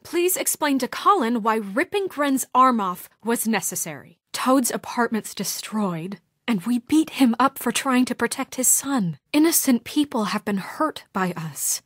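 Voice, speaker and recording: A woman's voice: on the high side, lively intonation, a little slow, hardly any pauses, no room sound, studio quality. A woman playing a principled young deputy mayor in a story video game, speaking with earnest, firm exasperation in naturalistic dramatic dialogue. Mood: frustrated, reproachful.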